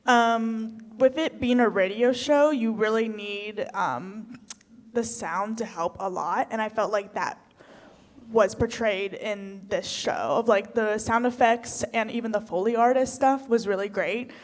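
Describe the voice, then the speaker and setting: A woman's voice: medium pitch, measured pace. A woman giving casual, rambling feedback to performers, often saying "like". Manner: rambling, frequent "like". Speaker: festival adjudicator, stage manager.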